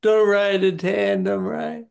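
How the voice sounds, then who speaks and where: A middle-aged man talks slowly and haltingly over a video call, pausing often on fillers. His voice is gravelly with noticeable fry. He sounds like he is sitting in a home office. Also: high voice, little pitch movement, darkish tone. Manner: slow, halting, with many fillers. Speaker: webinar participant. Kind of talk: candid personal sharing.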